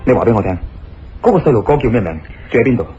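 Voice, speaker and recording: A man's voice: mid-range voice, sing-song, rapid-fire, mellow, dull audio, faint music behind, close to an everyday voice. A man acting an assured, reassuring investigator in a Cantonese radio drama. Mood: firm.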